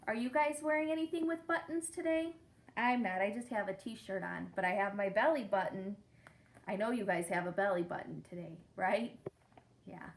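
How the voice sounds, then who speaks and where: A woman's voice: medium pitch, normal speed. A young woman teacher reading a picture book aloud to children, counting along and asking them questions.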